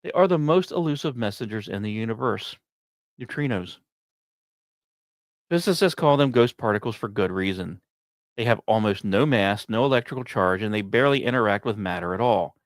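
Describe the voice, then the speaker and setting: A man hosting a science podcast, reading scripted narration with casual asides and musing, dramatic pauses between short phrases. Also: fairly low pitch, lively intonation, no echo. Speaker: podcast host.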